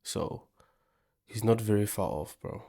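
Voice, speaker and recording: A man from South Africa with a fairly low voice chats through a reaction video. He talks loosely and informally, tossing in slang. His delivery stays relaxed, as if he is talking to a friend. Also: unhurried, vocal fry, breathy edge. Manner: chatty, says "bro" often. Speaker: reaction channel host. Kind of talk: casual reaction commentary.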